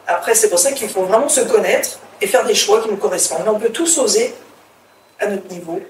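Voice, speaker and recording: A woman's voice: medium pitch, fairly quick. A middle-aged woman from France, chatting as an interview guest and telling her story with frequent hesitations and restarts. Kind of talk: casual interview talk. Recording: roomy, distant mic, faint background hiss.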